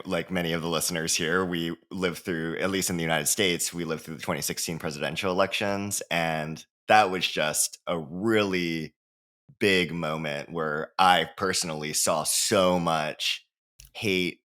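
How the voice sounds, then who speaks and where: A man from Hawaii, a guest author in a casual interview, talking in an animated way with frequent laughs and many "you know"s. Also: sits low, measured pace.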